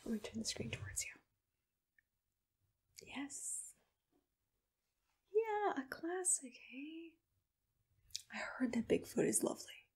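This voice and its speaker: A woman speaking in a breathy, mostly whispered voice, gently playing an attentive agency assistant in an ASMR roleplay, close to the microphone. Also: on the high side. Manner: gentle, personal, unhurried customer-service address.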